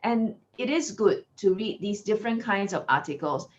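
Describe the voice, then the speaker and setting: A woman from Singapore with a Singaporean English accent, giving an online lecture in a clear, measured teacherly way. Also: mid-range voice, expressive. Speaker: guest lecturer. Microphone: video call. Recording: lo-fi video call audio.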